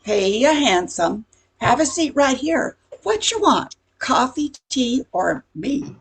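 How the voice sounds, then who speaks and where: A woman playing a blunt, impatient diner waitress in an amateur radio comedy, with a husky voice. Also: high voice, big pitch swings, slow, full character voice. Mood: flirty. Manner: blunt, sassy.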